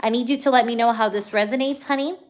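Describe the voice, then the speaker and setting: A woman doing a live tarot reading for a viewer. She talks in a chatty, energetic way, full of "you know" and "okay", and keeps checking whether things make sense to the viewer. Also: on the high side, quick pace. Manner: addresses the viewer directly, asks if things resonate. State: hyper, energetic. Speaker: tarot reader.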